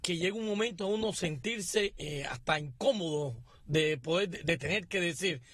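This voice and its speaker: A man in his sixties, from Cuba, talking in a slightly high voice with a steady, deliberate delivery. The studio sound is close and dry, with no room around it. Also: dry recording. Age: 60s. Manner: measured, deliberate political commentary. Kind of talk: radio panel discussion.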